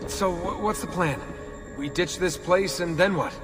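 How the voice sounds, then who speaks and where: A man's voice: high voice, a little slow, loud music behind the voice. An actor playing an edgy young everyman hero in a game cutscene, casual and questioning. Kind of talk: dramatic game cutscene acting.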